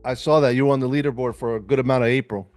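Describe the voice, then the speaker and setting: A man hosting a podcast interview, relaxed and hyping up his guest, often saying "man". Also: mid-range voice, racing, faint music behind. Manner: relaxed, hypes up the guest, says "man" a lot. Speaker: podcast host and trading mentor. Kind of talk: casual interview chat.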